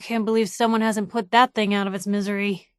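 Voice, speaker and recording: A woman's voice: mid-range voice, little pitch movement, a little fast, smooth, no room sound, clean studio sound, lightly acted. An actress playing a sardonic, plucky young woman reporter in a video game, with wry, conversational quips. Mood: somber. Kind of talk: video game companion voice acting.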